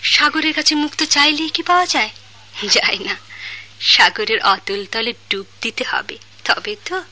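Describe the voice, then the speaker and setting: A woman's voice: high voice, expressive, a little slow, bright, forceful tone, exaggerated voice. A Bangladeshi woman playing a proud, wounded young daughter in love, lyrical and questioning, in theatrical radio drama with faint noise behind her. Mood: mysterious, teasing.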